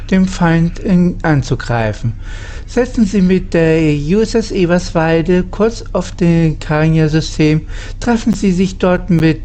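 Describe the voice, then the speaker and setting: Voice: medium pitch, darkish tone. Someone reading space crew lines in character, scripted role-play, bit of background noise.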